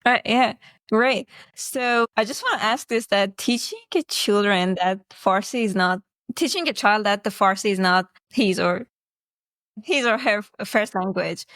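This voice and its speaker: A woman's voice: medium pitch, animated, a little slow, fairly bright tone, dry recording, studio quality. Encouraging interview host, a woman. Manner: encouraging, restates the guest's points. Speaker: podcast host. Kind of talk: casual interview conversation.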